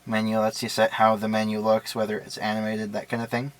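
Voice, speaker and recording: A man's voice: fairly low pitch, monotone, brisk. A man giving a casual, unscripted product walkthrough in a matter-of-fact, explanatory way. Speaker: product reviewer and demonstrator.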